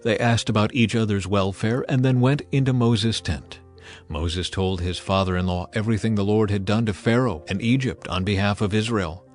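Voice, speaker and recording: A man narrating scripture from a script in a measured, solemn, dramatized style. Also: fairly low pitch. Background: music.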